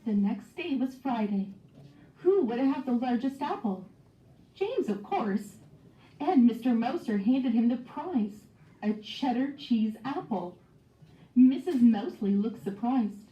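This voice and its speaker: A woman's voice: fairly high pitch, lively intonation, slow, dark tone. A woman narrating a children's storybook expressively, acting out the dialogue as she goes. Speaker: storybook read-aloud narrator. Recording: dull, echoey, distant microphone.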